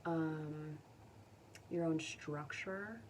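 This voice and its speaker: A woman giving a casual tarot reading to viewers, speaking gently and consolingly in an informal way. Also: sits low, extremely slow. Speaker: tarot reader. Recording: distant mic, faint background noise.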